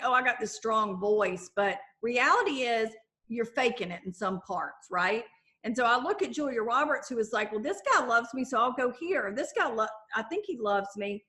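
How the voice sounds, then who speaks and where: A middle-aged woman author talking in a conversational interview, lively and acting out the voices of the people she quotes. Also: a little high. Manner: animated, acts out quoted voices. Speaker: author and guest.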